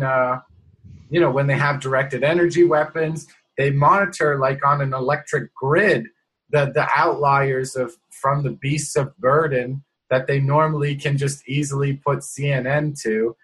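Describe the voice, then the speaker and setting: A man with a husky, slightly breathy voice who talks in a wry, rambling way during a casual chat. His pitch sits in a middling range. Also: fairly even intonation, unhurried, fairly bright tone, poor audio. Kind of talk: casual conversation.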